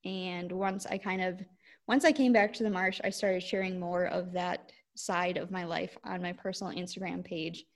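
A woman's voice: fairly even intonation, moderate pace. A woman, co-hosting a podcast in a rambling, casual way with many fillers. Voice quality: squeaky voice. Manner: rambling, lots of "like" and "um". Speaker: podcast co-host, cranberry grower. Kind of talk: casual chat.

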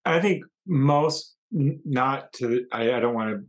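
A man's voice: mid-range voice, a little slow. A man hosting a casual conversation, chatty and prone to rambling restarts. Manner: chatty, rambling, self-interrupting. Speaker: podcast host.

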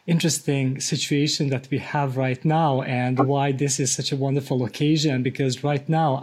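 A man's voice: mid-range voice, a little mellow. Man hosting a podcast, hesitant delivery. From Bosnia. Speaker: podcast host. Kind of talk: conversational interview.

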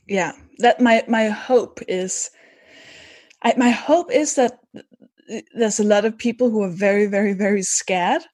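A woman's voice: medium pitch, a little slow, darkish tone, breathy edge, no echo, clean studio sound. A woman hosting a casual conversation, chatty, often restarting her sentences and laughing. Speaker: host.

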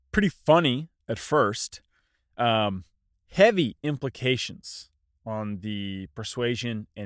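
A man's voice: mid-range voice, dry recording, clean studio sound. A man in his thirties hosting his own podcast, talking loosely in one take, a little congested and nasal. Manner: loose, self-deprecating, unscripted one-take delivery. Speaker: podcast host. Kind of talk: casual solo podcast talk.

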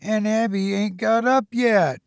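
A man's voice: high voice, steady tone, measured pace, creaky, no echo, studio quality, voice pushed up. A man voicing a helpless, childish, self-pitying woman in a storybook reading, with a plaintive, naive manner. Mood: innocent, surprised.

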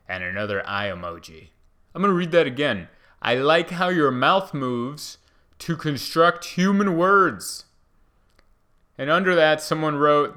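A man in his thirties who grew up speaking Hungarian first, now talking in English with no foreign accent. His diction is slightly slurred and meandering, delivered in a bright, crisp tone at a middling pitch. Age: 30s. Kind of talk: casual chat.

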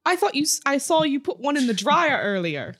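A woman's voice: high voice, big pitch swings, a little fast, fairly bright tone, exaggerated voice. A woman playing a casual, wheedling young girlfriend in a comedy sketch read. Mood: coaxing. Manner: casual, coaxing.

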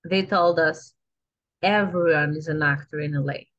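Greek-accented actress chatting, lo-fi. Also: female voice, deep voice, fairly even intonation, moderate pace, fairly bright tone. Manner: chatty, restarts sentences, asks "you know?" often. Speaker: guest actress. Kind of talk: casual podcast chat.

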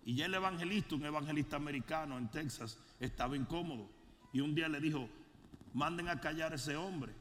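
A man preaching as a bishop, moving from calm storytelling to fervent, shouted prayer. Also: a little high, a little fast, big-room sound, a little hiss or hum. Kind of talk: sermon and prayer.

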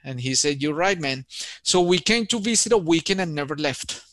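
A man's voice: on the high side, expressive, normal speed, a bit bright, no room sound. A man in his forties from Colombia with a Colombian Spanish accent, telling his story as an architect in an interview in an enthusiastic, friendly way. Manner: friendly, enthusiastic, says man and you know often. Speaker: architect and podcast guest.

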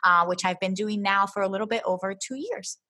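A woman's voice: medium pitch, brisk, bright, forceful tone, breathy edge. A woman in her thirties who grew up in Philadelphia, a travel blogger chatting as a podcast guest and thinking aloud with fillers. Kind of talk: casual interview.

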